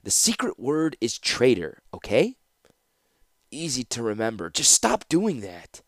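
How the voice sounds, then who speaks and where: Man acting a bitter, defiant prisoner, lively pitch. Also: unhurried, no echo, clean studio sound, exaggerated voice. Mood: grudging. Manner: gasping, growling, bitter. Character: battered, defiant male prisoner, bitterly sarcastic.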